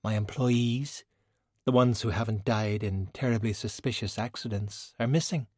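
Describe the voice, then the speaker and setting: A man's voice: on the low side, steady tone, darkish tone, dry recording, clean studio sound, natural voice. Man voicing a poised, silky, refined woman. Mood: quietly bitter. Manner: measured, formal, refined. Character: poised, silky, beautiful woman, proud and wryly self-pitying. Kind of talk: audiobook character dialogue.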